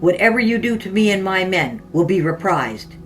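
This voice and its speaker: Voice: mid-range voice, very animated, normal speed, faint music behind, pitched above the performer's own voice, natural voice. A narrator as a firm frontier army sergeant. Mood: firm, defiant. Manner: formal, authoritative.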